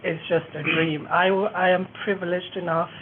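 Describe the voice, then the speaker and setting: A woman's voice: on the low side, flat delivery, moderate pace. A young woman making an impassioned plea and question as a participant at a roundtable. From Malawi. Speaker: youth participant asking a question. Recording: tinny phone-like audio, faint noise.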